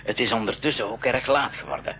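An actor playing a polite, earnest expedition leader in a school radio drama, courteous and deliberate. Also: male voice, medium pitch, bright, forceful tone, natural voice. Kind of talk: 1980s Dutch-language school radio drama acting.

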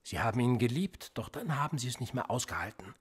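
A cool, manipulative police interrogator in a radio play. His voice is a little husky, and his pitch swings widely as he pushes a suspect with probing questions. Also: animated, quick pace. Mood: pressing. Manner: probing and insistent, pressing with pointed questions. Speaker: police officer.

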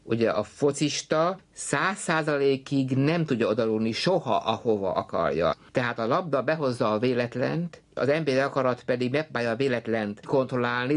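A man speaking as a researcher in thoughtful, articulate, reflective philosophical talk on an archive recording. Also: medium pitch. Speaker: social researcher.

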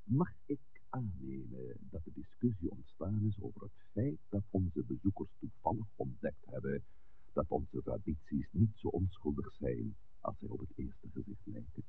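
An actor playing an authoritative village priest in a 1960s radio drama, speaking in a measured, formal, admonishing way. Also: male voice, very deep voice, very animated, gravelly, exaggerated voice. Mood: measured, diplomatic. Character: authoritative village priest, composed, stern peacemaker. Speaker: pastor. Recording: dull, noisy 1960s radio recording.

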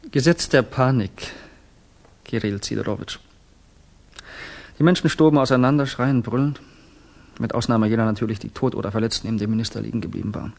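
An actor playing a fervent young student revolutionary who confides in a deliberate, rhetorical way, in 1960s German radio drama style. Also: male voice, mid-range voice, vocal fry, a little mellow, lightly acted. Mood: fervent, grave.

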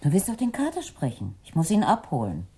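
A woman's voice: low-pitched, wildly swooping pitch, fairly quick, warm tone, husky, full character voice. An actress playing a tall, seductive, imperious Russian woman who is teasing and blunt in a radio play. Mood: blunt, commanding. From Russia (the figure only). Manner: teasing, commanding, flirtatious. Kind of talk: radio drama acting.

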